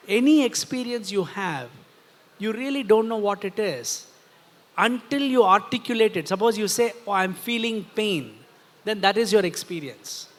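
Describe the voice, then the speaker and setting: A man's voice: sits high, fairly bright tone. Indian man speaking in a reverberant hall. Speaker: panel speaker, philosopher and author. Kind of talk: explanatory answer at a literary festival discussion.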